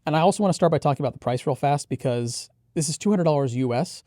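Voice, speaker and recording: Mellow-voiced man explaining product specs. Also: a little high, quick pace, dry recording, clean studio sound. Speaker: headphone reviewer co-hosting a product preview. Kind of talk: conversational technical product review.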